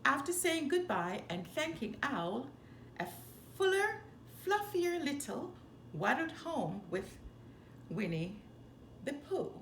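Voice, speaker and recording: A woman reading a children's story aloud with expressive, animated, deliberate phrasing. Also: high-pitched. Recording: roomy echo, faint background noise.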